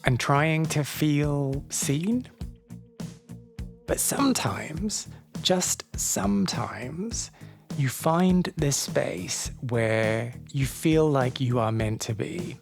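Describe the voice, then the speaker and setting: A podcast host reading a scripted opening and then sliding into easy chat, full of wry, self-mocking asides. The voice sits at a middle pitch with a gravelly, creaky texture. Faint music plays underneath. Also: expressive, drawn-out words.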